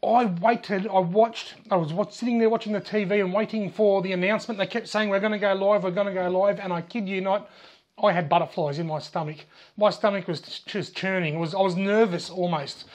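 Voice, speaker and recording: A chatty Australian man in his forties speaks straight to the camera in a fairly high voice, often stopping and restarting his sentences. He sounds like he is wearing a lapel mic in a small indoor shed. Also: steady tone, brisk. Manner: chatty, gestures with his hands, frequent restarts. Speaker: fishing channel host. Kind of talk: casual talk to camera.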